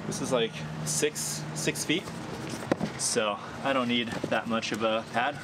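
Casual male vlogger in his thirties, mid-range voice, rambling gear review recorded outdoors with clear background noise. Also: mid-range voice, slow. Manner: chatty, thinking aloud with fillers and small laughs. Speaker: hiking vlogger. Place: sunny backyard, outdoors.

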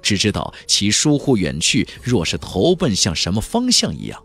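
A man narrating a fantasy audiobook as a dramatic, expressive storyteller. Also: fairly low pitch, normal speed, slightly rough, soft background music.

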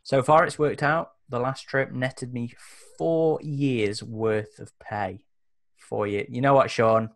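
A man in his thirties talks casually on a group roleplaying stream, where he hosts and plays. His voice has an ordinary middle pitch. He speaks into a headset from a room indoors. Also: fairly even intonation, slow, creaky. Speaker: host and player in a roleplaying game. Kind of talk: casual chat. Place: indoor room on a video call.

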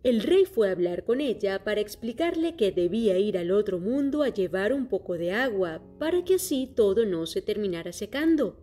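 A woman reading a children's story aloud in a clear, steady storytelling manner. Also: medium pitch, moderate pace, hardly any pauses. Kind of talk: scripted children's story narration. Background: soft music.

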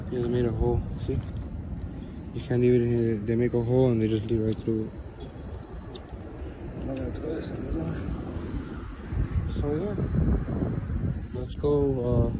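A young man in his twenties chatting casually to his phone camera outdoors by a lake, rambling and getting excited. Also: medium pitch. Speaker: vlogger. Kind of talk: casual vlog narration. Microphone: phone held in hand. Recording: loud outdoor noise, traffic.

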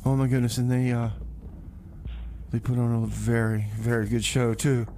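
A man's voice: medium pitch, level tone, normal speed, dark tone, slight background noise. A man hosting a community radio music show, talking casually like a DJ with wry, offhand asides. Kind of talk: casual radio DJ talk, announcing songs and local events.

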